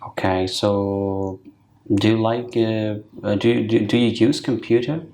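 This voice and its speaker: A man with a very deep, gravelly voice questions a candidate in a mock speaking exam. He drawls his questions with fillers and murmurs steady hums of acknowledgement. The sound is roomy and echoey. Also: a little hiss or hum. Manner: slow, hesitant questions with many fillers and backchannel hums. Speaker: IELTS speaking examiner. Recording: echoey room.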